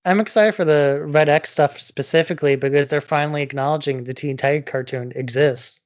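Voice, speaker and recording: A man's voice: on the high side, fairly even intonation, a little fast, no room sound, muffled recording. A man co-hosting a podcast in casual, opinionated chat, blunt and prone to swearing. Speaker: podcast co-host.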